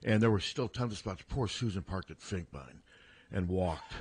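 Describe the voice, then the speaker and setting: A man's voice: low-pitched, animated, normal speed, darkish tone, slightly rough, no room sound. A man co-hosting a radio sports talk show, telling a story in a casual, self-deprecating way. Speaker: sports reporter and co-host. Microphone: studio broadcast mic.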